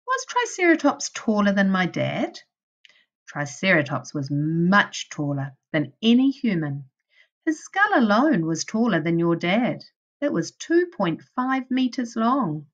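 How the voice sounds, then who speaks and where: A woman teacher reading a children's storybook aloud in a deliberate way, addressing young children. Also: fairly low pitch, dull audio. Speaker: primary school teacher.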